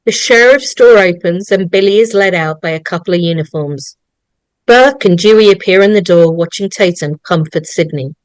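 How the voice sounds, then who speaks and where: A woman reading scripted stage directions as narrator, deliberate and storytelling. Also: on the low side, smooth, no room sound.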